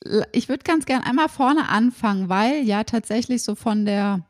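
A woman's voice: on the high side, lively intonation, normal speed, dry recording, clean studio sound. A woman from Berlin hosting a podcast interview, chatty and upbeat, full of fillers and restarts. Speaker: podcast host. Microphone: remote call, host side.

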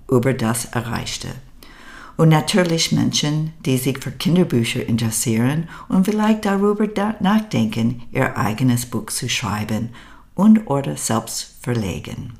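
An older American woman with an American accent, a native English speaker, hosting a podcast in a measured, scripted monologue. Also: sits low, darkish tone. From United States. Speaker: podcast host.

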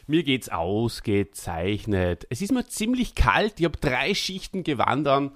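A man's voice: mid-range voice, big pitch swings, a little slow, fairly bright tone, no room sound, clean studio sound. A man with an Austrian German accent co-hosting a casual podcast, rambling and joking in a mock-solemn way. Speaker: podcast co-host.

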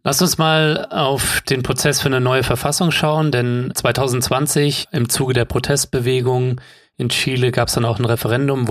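Male host, mid-pitched, inquisitive, steady moderator delivery. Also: no echo, studio quality. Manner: inquisitive, guiding questions. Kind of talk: interview moderation.

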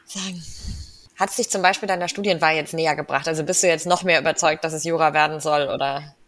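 A woman's voice: deep voice, fairly even intonation, fast, a bit bright. A woman interviewing a returning student, with frequent affirming murmurs and open questions. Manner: encouraging, frequent affirming "mhm". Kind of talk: casual interview.

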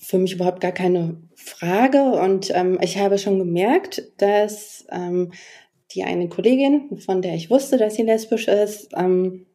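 A woman's voice: medium pitch, unhurried, warm tone. A woman in her forties, a podcast guest telling her personal story in a reflective, hesitant way with many fillers. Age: 40s.

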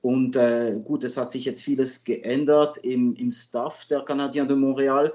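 A man in his fifties from Switzerland, a native French speaker, answering as a guest expert in a halting, conversational way. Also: mid-range voice, steady tone, warm tone. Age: 50s. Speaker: sports journalist and guest expert.

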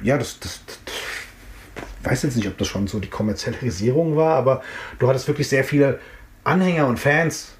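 A man's voice: medium pitch, brisk, slight background noise. A middle-aged man from the Frankfurt area, reminiscing in a casual interview and rambling with lots of fillers and restarts. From Frankfurt area, Germany. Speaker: interviewee, hip-hop artist. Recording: roomy echo.

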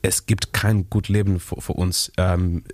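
A man's voice: low voice, little pitch movement, darkish tone, no room sound, studio quality. Shona-speaking man searching for words. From Zimbabwe. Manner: halting, searching for words, frequent restarts, laughs often. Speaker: musician guest. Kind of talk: interview answers in learner German mixed with English.

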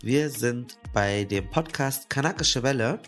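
A man co-hosting a podcast, with a cold, introducing the episode in a casual, lively way. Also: medium pitch, a little slow, faint music behind. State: has a cold. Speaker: podcast co-host.

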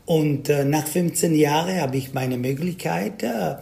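A man from South Tyrol with an Italian accent, a singer answering interview questions in halting German full of fillers. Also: fairly high pitch, creaky, dark tone. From South Tyrol, Italy. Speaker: Schlager singer, interview guest.

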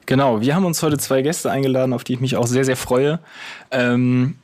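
A man's voice: medium pitch, steady tone, a little fast, darkish tone. A man co-hosting a podcast, introducing and explaining things in casual conversational speech. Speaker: podcast co-host.

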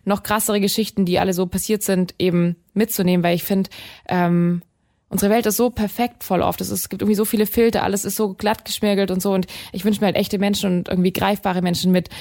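Guest singer, young woman, deep voice, quick and chatty, plenty of fillers. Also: vocal fry, darkish tone. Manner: fast, chatty, many fillers and restarts. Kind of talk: casual interview chat.

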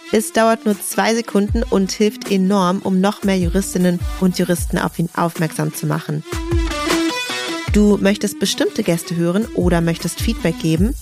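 A woman from Berlin hosting a legal podcast, engaged and enthusiastic as she wraps up the interview. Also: medium pitch. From Berlin, Germany. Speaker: podcast host.

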